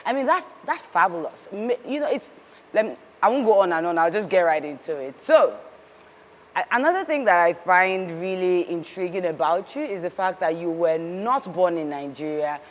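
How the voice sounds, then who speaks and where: A woman hosting an interview, asking questions in an animated way, with restarts and encouragement. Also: fairly low pitch, moderate pace, long reverb tail. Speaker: podcast host, interviewer.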